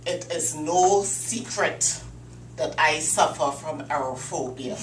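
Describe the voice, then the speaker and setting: Slow, dramatic woman telling a story. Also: low-pitched. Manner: deliberate dramatic storytelling with pauses. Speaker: Toastmasters club speaker. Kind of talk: prepared humorous speech. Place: meeting room. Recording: echoey room, distant mic, faint hum.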